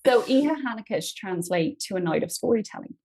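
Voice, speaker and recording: A woman's voice: medium pitch, expressive, brisk, a little mellow, breathy edge. A woman from Ireland, a podcast guest casually recounting family stories. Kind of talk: casual storytelling conversation.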